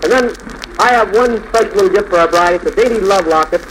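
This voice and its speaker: Male host, 1940s radio show style, high-pitched and quick, noisy vintage broadcast sound. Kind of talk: 1940s radio hosting, announcing gifts.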